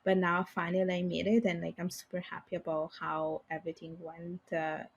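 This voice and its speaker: A woman's voice: lowish voice, flat delivery, a little fast, breathy edge, muffled recording. A young woman, a native speaker of Bengali and Italian, chatting as a guest in an interview with lots of fillers and restarts. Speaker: guest, finance professional. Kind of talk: casual interview conversation.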